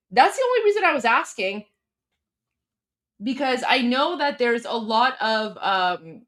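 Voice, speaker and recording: A woman with a Canadian accent hosting a livestream in casual, rambling chat full of fillers. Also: on the high side. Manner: rambling, filler-heavy, animated. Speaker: livestream host.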